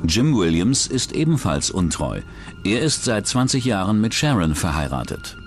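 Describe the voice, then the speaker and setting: A man's voice: on the low side, sing-song, normal speed, faint music behind. A man reading scripted true-crime narration as the narrator of a documentary.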